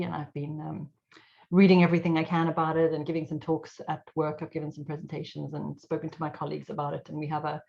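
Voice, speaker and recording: A middle-aged woman, an IT consultant and guest speaker, telling her story in an animated way over a video call. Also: sits low, gravelly. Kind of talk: casual webinar talk. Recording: slightly dull lo-fi audio.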